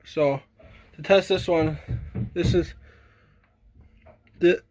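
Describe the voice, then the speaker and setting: A man giving a casual, halting, informal show-and-tell demonstration as a hobbyist. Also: on the high side, fairly even intonation, noisy background. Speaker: hobbyist demonstrating a radio collection.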